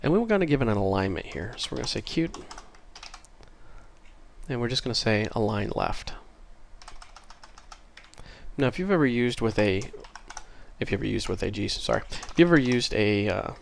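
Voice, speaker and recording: Low-voiced, slightly stuffy man teaching casually. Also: big pitch swings, normal speed, pauses often. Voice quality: slightly congested, stuffy nose. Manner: informal, apologetic, thinking aloud. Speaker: programming tutorial host. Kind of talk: casual screencast tutorial. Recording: clear keyboard and mouse clicking noise. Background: typing and mouse clicks.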